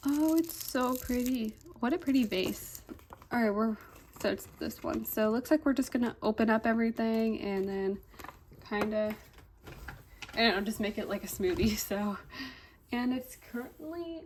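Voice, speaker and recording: A woman's voice: medium pitch, a little hiss or hum. Chatty young woman, slightly congested. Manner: chatty, fillers "like" and "um", easily delighted. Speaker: toy unboxing vlogger. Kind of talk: casual unboxing chat.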